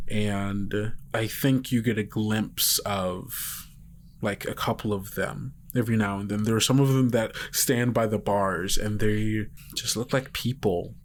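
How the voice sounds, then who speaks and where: A man narrating a tabletop roleplaying game as game master, in a halting, suspenseful way. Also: fairly low pitch, slight background noise.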